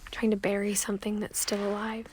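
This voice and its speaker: A quiet, pensive actress's portrayal of a young woman looking into her family's secrets in a narrative game. Her mid-pitched narration is understated and reflective, with soft music behind it. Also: monotone, a little fast, close to an everyday voice. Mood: pensive, hurt.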